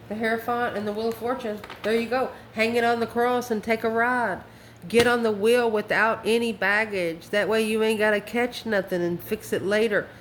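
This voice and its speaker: A woman with a mid-pitched voice hosts an informal tarot reading. She speaks in a folksy, emphatic manner, calling listeners by endearments. The recording has a roomy echo and a faint layer of background noise. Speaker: tarot card reader and channel host.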